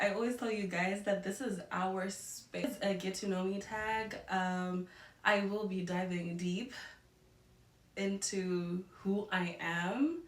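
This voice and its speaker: A young woman from Zimbabwe talking casually in a get-to-know-me vlog, chatty and expressive, dropping in Shona and Ndebele phrases. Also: mid-range voice, reverberant, recorded from a distance, slight background noise. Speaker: vlogger.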